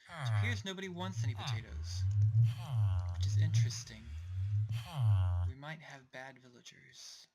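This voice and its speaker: A young man casually narrating his game as he plays, rambling and talking to himself, his voice going a little hoarse. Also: medium pitch, very animated, moderate pace, pauses often, darkish tone. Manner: rambling, self-talking, distracted asides. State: voice getting hoarse. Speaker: let's play host. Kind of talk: casual gameplay commentary.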